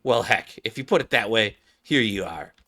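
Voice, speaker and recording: A man's voice: lowish voice, expressive, normal speed, pushed and bright. A man voicing a boastful, easily exasperated young female villain in a game, brash and indignant. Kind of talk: game cutscene read-aloud.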